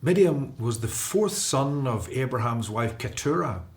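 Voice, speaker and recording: An actor playing an earnest, resolute fugitive prince of ancient Egypt in a radio drama. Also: male voice, medium pitch, animated, unhurried. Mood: earnest, determined.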